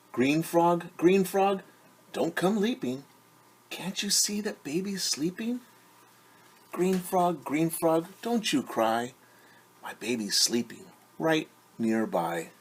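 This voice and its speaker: A man reading a picture book aloud to young children as a teacher, with sing-song refrains and hushed, whispered asides. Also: a little high, very animated, takes it slowly, husky.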